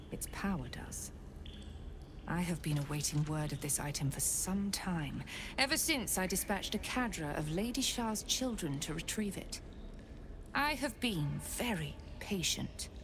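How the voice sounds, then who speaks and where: A haughty female cult commander in a fantasy video game. She is formal and calculating, with menace carried in lively pitch swings, over clear background ambience. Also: noisy background. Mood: haughty, probing, then menacing, demanding. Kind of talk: dramatic video game acting.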